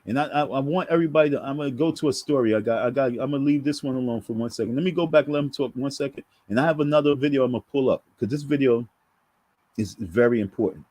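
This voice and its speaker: A man's voice: mid-range voice, quick pace, darkish tone, no room sound. A man giving preachy casual commentary to his viewers, emphatic and repetitive in a sermon-like way. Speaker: online commentator.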